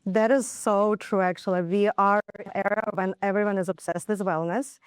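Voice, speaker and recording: A woman's voice: mid-range voice, steady tone, normal speed, smooth, no echo. A woman with a Ukrainian accent, a beauty studio founder, talking eagerly as a guest in a TV studio, with slightly non-native phrasing. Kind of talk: casual studio interview.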